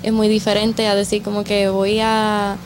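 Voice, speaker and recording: A woman's voice: mid-range voice, monotone, normal speed, noisy background. A young woman from Puerto Rico with a Puerto Rican accent, chatting informally as an interviewed artist and acting out little quotes as she tells stories. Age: young adult. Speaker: music artist being interviewed. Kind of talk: casual conversational interview.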